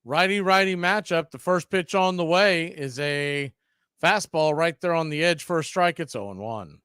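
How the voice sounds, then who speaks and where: Male play-by-play announcer, slightly higher voice, blunt, opinionated, plain fan-radio caller. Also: moderate pace, fairly bright tone, smooth, no room sound, clean studio sound. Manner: opinionated, blunt asides between pitches. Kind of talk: fan-stream baseball play-by-play commentary.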